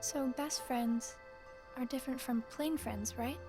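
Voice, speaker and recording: High-voiced, slow-spoken timid girl. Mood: curious. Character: timid, earnest teenage girl in a secret order. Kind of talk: video game cutscene voice acting. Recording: game music behind the voice.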